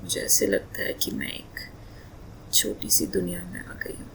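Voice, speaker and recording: A woman playing a loving, playful wife in a romantic roleplay ASMR, speaking softly and breathily in an intimate, coaxing manner, often slipping into whispers. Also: measured pace, close to an everyday voice, rough recording. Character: loving, emotional, playful young wife doting on husband.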